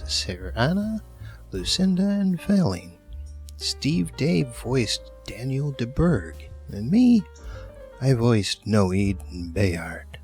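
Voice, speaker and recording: A man's voice: mid-range voice, a little mellow. A man hosting the end of an audio series, reading credits and thanks in a casual scripted style.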